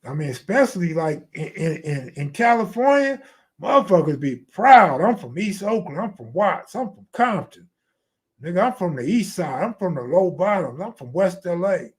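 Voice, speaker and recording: A man's voice: high voice, big pitch swings, normal speed. An American man hosting a live stream, chatting casually in slangy, profane, streetwise talk.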